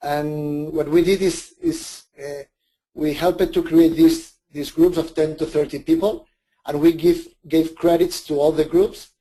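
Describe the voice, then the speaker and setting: A man's voice: fairly high pitch, flat delivery, a little slow, dark tone. A man with a Spanish accent, speaking English as a second language, gives a webinar presentation with many restarts and self-corrections. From Spain.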